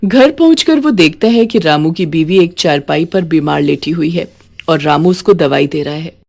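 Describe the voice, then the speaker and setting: A woman's voice: deep voice, expressive, normal speed, creaky, darkish tone. A woman reading a scripted moral story as a storyteller.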